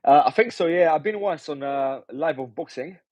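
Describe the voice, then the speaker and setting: A man's voice: fairly high pitch, lively intonation, moderate pace, darkish tone, no echo. A Romanian-born man in his twenties, a pro boxer, answering casually with frequent hesitations and "do you know". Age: 20s. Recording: dull muffled audio.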